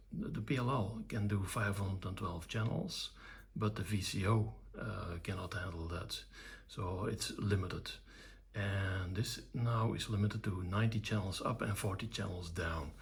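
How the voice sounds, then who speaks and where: An older man with a low, very husky voice presents a hobby electronics project. His delivery is informal and halting, moving in short, measured phrases with a gravelly creak. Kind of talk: informal technical demonstration.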